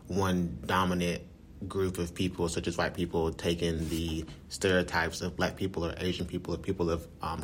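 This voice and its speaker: Low-pitched American man hosting a podcast. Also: a little hiss or hum. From United States. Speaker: podcast host. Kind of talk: moderated casual discussion.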